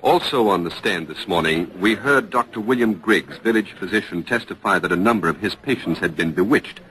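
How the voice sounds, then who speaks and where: Low, forceful male vintage radio reporter. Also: animated, fairly quick. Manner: formal, crisp, probing. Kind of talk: 1940s radio news reporting, dramatized. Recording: noisy vintage radio broadcast recording.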